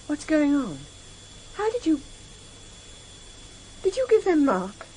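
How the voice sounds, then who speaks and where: A woman's voice: sits high, sing-song, slow pace, dark tone, full character voice, rough recording. A woman playing a determined, emotional wife in a radio drama, well-spoken and direct. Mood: alarmed. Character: anguished, determined wife of a vanished politician. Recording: hissy vintage radio drama tape.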